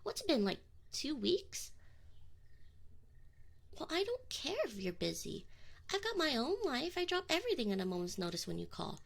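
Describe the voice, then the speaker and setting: A woman's voice: high-pitched, big pitch swings, creaky, heavily acted. A woman playing a young tsundere succubus in an intimate ASMR roleplay, prickly and defensive, with affection and neediness showing through. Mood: indignant, pouty. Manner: defensive, teasing, halting when admitting feelings. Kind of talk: scripted ASMR roleplay acting, intimate direct address.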